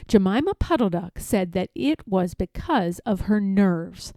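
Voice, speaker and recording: A woman narrating a children's story aloud as an expressive storyteller, reading from scripted text. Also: mid-range voice, a little slow, no room sound, studio quality.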